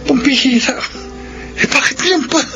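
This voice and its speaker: A man's voice: very high-pitched, moderate pace, music in the background. A man playing an evasive, flustered young boyfriend in a radio drama, hesitant and given to stammering. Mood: shocked, flustered. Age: young adult. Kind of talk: Filipino radio drama acting.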